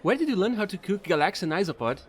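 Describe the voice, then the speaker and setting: A man playing a chatty, excitable fallen alien angel who trades in space, gushing and rambling in an audio roleplay. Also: high voice, fast. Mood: eager, friendly. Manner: bubbly, gushing, rambling, apologetic.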